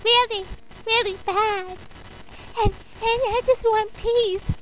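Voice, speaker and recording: A woman playing a whiny, bratty little kindergarten girl with a high, childlike voice in a comedy sketch. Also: steady tone, slow, fairly bright tone, a little hiss or hum, full character voice, poor audio.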